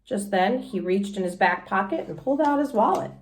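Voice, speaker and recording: A woman teacher reading a children's storybook aloud in an expressive, explanatory way. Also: mid-range voice, brisk, slight background noise, rough recording.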